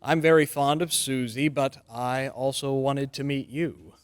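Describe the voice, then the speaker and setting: Man acting a mid-pitched genial young lawyer. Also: fairly even intonation, a little slow, smooth, lightly acted. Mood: friendly, earnest. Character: friendly, earnest, slightly hesitant bachelor lawyer. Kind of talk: old-time radio drama acting.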